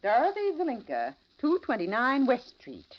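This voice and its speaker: Polite matron on muffled radio. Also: female voice, fairly high pitch, wildly swooping pitch, moderate pace. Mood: helpful, polite. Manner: polite, precise. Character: courteous, formal reformatory matron. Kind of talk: 1940s radio drama acting. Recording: muffled old radio recording.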